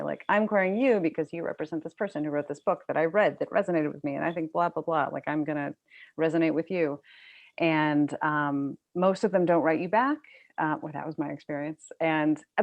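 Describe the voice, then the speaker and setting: A woman with a lowish, creaky voice, recorded dry and close. She is an author guest talking casually and personally, as if telling her own story. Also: dry recording, lo-fi sound.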